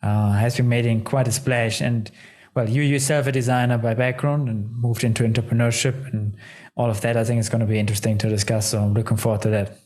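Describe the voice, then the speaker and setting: Mellow friendly man hosting. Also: medium pitch, fairly even intonation, brisk. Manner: friendly, hesitant, slightly nonnative phrasing. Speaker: podcast host. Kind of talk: interview hosting.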